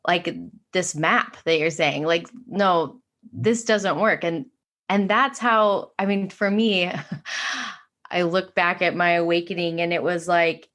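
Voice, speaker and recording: A woman's voice: on the low side, fairly even intonation, takes it slowly, a bit bright. A woman talking about spiritual awakening in an earnest, flowing way, with frequent "like" fillers. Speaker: podcast guest, spiritual teacher. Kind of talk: casual spiritual conversation.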